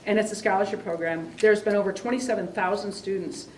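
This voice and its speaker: A woman's voice: mid-range voice, steady tone, a little mellow. Woman presenter at a community meeting, rambling, heard from a distant mic in a roomy space. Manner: rambling, halting with fillers and restarts. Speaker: exchange program coordinator. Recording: roomy sound from a distant mic, faint hiss.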